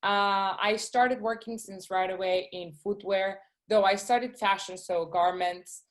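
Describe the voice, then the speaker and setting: A woman presents her design work to schoolchildren over a video call. She sounds eager, pausing on fillers and checking in with "okay?" as she goes. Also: medium pitch, fairly even intonation. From Venezuela. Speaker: guest speaker, shoe designer.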